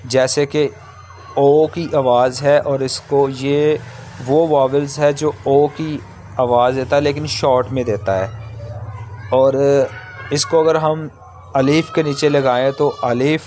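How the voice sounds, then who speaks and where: Male tutor, mid-pitched and unhurried, halting and repetitive as he explains step by step; some background noise. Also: steady tone, a little mellow. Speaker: language teacher. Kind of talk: informal step-by-step lesson on vowel signs. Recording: clearly noisy background.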